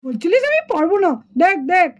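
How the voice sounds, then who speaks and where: A woman's voice: very high voice, sing-song, a little fast. A woman voicing a cheeky, boastful, bossy little schoolgirl doll in a children's puppet show.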